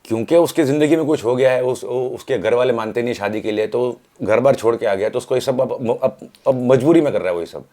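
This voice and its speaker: A man's voice: mid-range voice, brisk, hardly any pauses, warm tone. A man speaking as an interview guest, telling stories conversationally and explaining with rhetorical questions and acted-out examples. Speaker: interview guest from the film industry. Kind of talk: animated storytelling in casual conversation.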